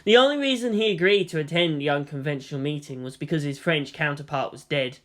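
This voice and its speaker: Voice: mid-range voice, quick pace. A narrator reading a scripted fan fiction story in audiobook style.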